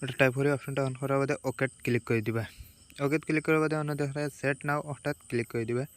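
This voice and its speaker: A man's voice: mid-range voice, steady tone, fast, smooth. A man with a Sylheti accent giving a casual step-by-step phone tutorial, repeating himself as he instructs.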